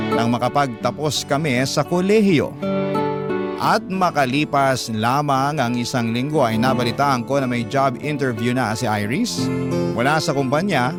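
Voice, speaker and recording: A man's voice: mid-range voice, natural voice. A young man with a Filipino accent playing a sincere, supportive boyfriend recounting his love story in scripted radio drama narration. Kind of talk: scripted first-person narration, letter to radio host. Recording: loud guitar music under narration.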